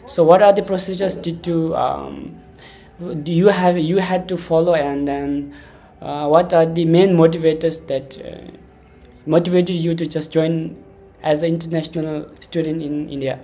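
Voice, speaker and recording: A man in his twenties hosting a casual interview in a halting, wordy way, often repeating himself. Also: fairly high pitch, steady tone, mellow, slight background noise. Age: 20s. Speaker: podcast host, interviewer.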